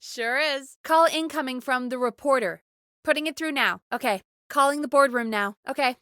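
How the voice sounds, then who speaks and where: Excitable high-voiced young-sounding radio producer woman. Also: normal speed, no room sound, clean studio sound. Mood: focused, helpful. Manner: expressive, earnest, conversational. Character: earnest, excitable female radio call screener and producer. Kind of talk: video game voice acting.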